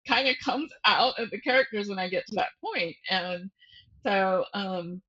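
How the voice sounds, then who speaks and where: A woman's voice: medium pitch, a little slow, husky, highs cut off. A woman author being interviewed, chatting casually and telling stories by acting out thoughts and bits of dialogue. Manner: animated storyteller, acts out thoughts and dialogue.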